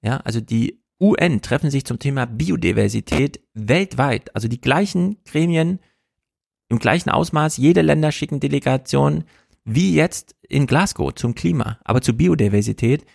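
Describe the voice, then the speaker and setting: Gravelly, opinionated male stream host. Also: mid-range voice, lively intonation, normal speed, a little mellow, no room sound, studio quality. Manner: opinionated, repeats "grotesk", restless. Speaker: livestream host. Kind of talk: casual political commentary.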